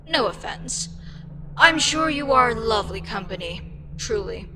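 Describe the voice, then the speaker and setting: A woman playing a frustrated, condescending, wryly amused eldritch deity in an ASMR audio roleplay, with a dryly sarcastic, unhurried manner. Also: medium pitch, background noise.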